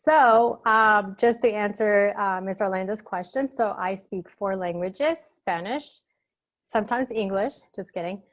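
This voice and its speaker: A woman's voice: medium pitch, unhurried. Brief: woman, native Albanian speaker, admissions rep, upbeat promotional webinar delivery over a video call. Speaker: admissions counselor. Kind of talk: webinar presentation.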